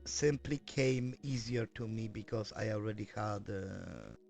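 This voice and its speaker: Guy streaming and rambling, lots of uhs and restarts, gravelly fry in his voice. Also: on the low side, unhurried, darkish tone, soft background music. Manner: hesitant, many fillers and self-corrections. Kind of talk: casual live-stream chat while working.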